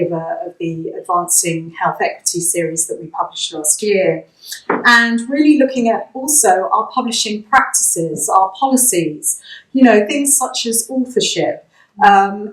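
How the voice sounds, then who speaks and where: A woman's voice: on the low side, distant mic. Chatty woman, roomy and off-mic. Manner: enthusiastic, chatty, many fillers. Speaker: journal editor on a panel. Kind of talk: panel talk at a live event. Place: event hall.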